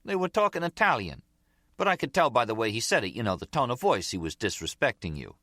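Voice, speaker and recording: Audiobook crime underling, man performing: eager, resentful, insistent whine, high-set and very animated. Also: fast, no echo, studio quality. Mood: complaining. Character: eager, resentful young mob underling Nicky, grumbling.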